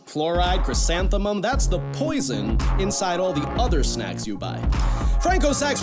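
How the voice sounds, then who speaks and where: A man playing a grandiose, pushy conspiracy guru hosting a talk radio show in a radio play. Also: high-pitched. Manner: grandiose, self-important, pushy interrupting. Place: live stage performance before a small audience.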